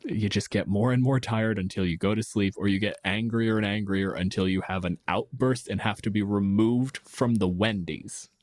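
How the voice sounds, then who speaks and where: A man's voice: fairly low pitch, fairly even intonation, normal speed, hardly any pauses, a little mellow, dry recording, studio quality. A man in his thirties chatting casually as a podcast host, explaining things in a wry, emphatic, sweary way.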